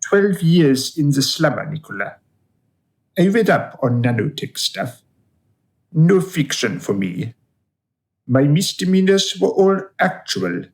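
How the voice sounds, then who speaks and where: An actor as a dead serial killer in a radio drama. His highish voice is gravelly and very slow, swinging widely in pitch. He sounds boastful, sneering and theatrically menacing. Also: very animated, heavily acted.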